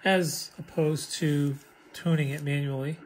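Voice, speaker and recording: A man presents a casual, hands-on gadget demonstration. His voice sits a little on the high side. Shortwave radio hiss and chatter run faintly behind him. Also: fairly even intonation, unhurried. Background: shortwave radio static and voices.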